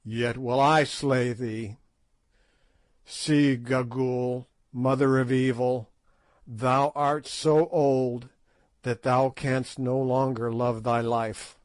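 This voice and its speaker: A man reading aloud and voicing a stern king who speaks slowly and with command. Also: mid-range voice, little pitch movement, no echo, natural voice. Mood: threatening. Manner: slow, stern, measured.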